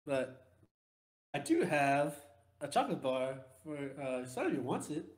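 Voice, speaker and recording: A man playing a stressed, apologetic female spaceship crew member in a tabletop roleplay, speaking haltingly, in a roomy recording. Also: on the high side, very animated. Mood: hesitant, friendly. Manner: halting, hesitant.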